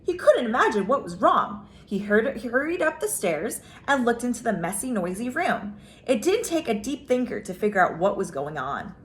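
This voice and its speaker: A woman's voice: a little high, big pitch swings, moderate pace, hardly any pauses, a little hiss or hum. A woman reading a children's picture book aloud as a storyteller, expressively, drawing out key words for emphasis.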